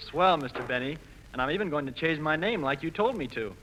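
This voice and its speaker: A man's voice: on the high side, expressive, fast talker, a bit bright. A polite, naive young American man acting earnestly in a 1940s radio comedy. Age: young adult. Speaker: show singer and comic foil. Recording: noisy 1940s live radio recording.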